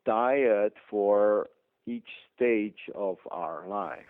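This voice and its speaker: A man's voice: lowish voice, very slow, smooth, no room sound. A middle-aged man from Taiwan with a Taiwanese accent, a dentist explaining things emphatically in an interview. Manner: emphatic, explanatory, chuckles often. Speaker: dentist guest. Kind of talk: interview conversation. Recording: dry tinny phone line.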